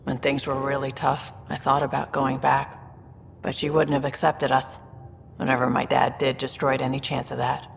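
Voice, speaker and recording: An actress playing a tough, guarded alien smuggler in a video game, speaking in a dry, matter-of-fact way. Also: female voice, a bass voice, monotone, moderate pace, creaky, in a large hall, a little hiss or hum. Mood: dry, candid. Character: tough, guarded female alien smuggler, pragmatic older sister. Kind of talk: video game character acting.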